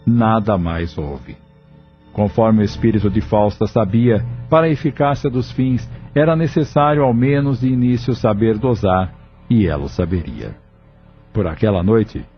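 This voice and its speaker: Low-voiced solemn male narrator. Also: lively intonation, lo-fi sound, faint music behind. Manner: measured, solemn storytelling. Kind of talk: scripted audiobook narration.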